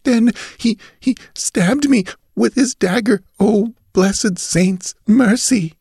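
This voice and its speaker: Man voicing a frail, high-pitched dying girl. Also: darkish tone, slightly rough, no room sound, clean studio sound, full character voice. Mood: anguished, fading. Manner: gasping, weak, halting. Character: frail dying young girl, gasping and frightened.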